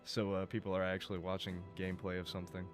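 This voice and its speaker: Chatty streamer man, lowish voice. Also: monotone, quick pace, music in the background. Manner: rambling, chatty, swears and laughs. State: losing his voice. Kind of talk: casual live gameplay stream.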